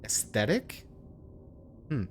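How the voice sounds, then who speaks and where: A man's voice: slow, faint music behind. A man giving casual playthrough commentary as a gaming streamer, with an irreverent, joking, sweary manner.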